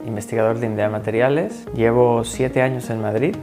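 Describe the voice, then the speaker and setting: A man with a Mexican Spanish accent, a researcher speaking in a measured, articulate way in an interview. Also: medium pitch, steady tone, over music. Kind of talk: interview answers.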